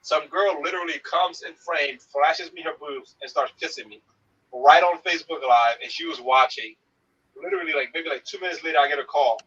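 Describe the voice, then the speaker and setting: Bright, husky man on a distant call. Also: on the high side, a little fast, muffled recording. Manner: animated, joking. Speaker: guest. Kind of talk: casual storytelling.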